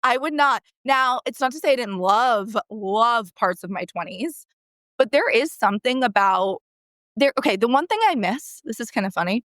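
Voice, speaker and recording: A woman's voice: a little high, lively intonation, moderate pace, a bit bright, no room sound, clean studio sound. A woman in her early thirties with an American accent, talking casually as a therapist guest in a rambling, self-correcting way with emphatic repetition. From San Diego, California, United States. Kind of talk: casual conversational interview.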